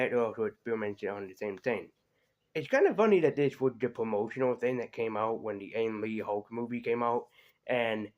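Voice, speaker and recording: A man's voice: mid-range voice, darkish tone, dull audio. A man reviewing cartoons in a casual, conversational way, hesitating and drifting into asides as he goes. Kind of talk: casual rambling review commentary.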